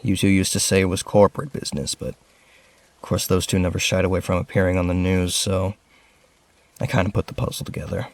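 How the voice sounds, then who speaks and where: Man playing a low-voiced, lonely teenage orphan. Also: steady tone, brisk, no room sound. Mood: wistful, reflective. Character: lonely, grieving, earnest orphaned rich teenage boy. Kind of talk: audio drama acting.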